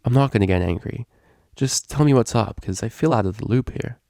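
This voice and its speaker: A man's voice: medium pitch, brisk, creaky, dark tone, no echo, voice pushed up, natural voice. Storybook role: informal, brash female unicorn DJ, slangy delivery, dry sound, male reader performing. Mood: concerned. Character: brash, casual female unicorn DJ, quick to worry.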